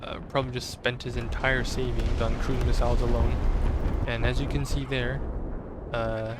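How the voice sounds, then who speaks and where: A man's voice: medium pitch, level tone, normal speed, very noisy. Man with a sore voice over game gunfire. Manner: rambling, many fillers and restarts. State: strained, sore voice. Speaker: gamer commentating his own match. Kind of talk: casual game commentary. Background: game gunfire and explosions.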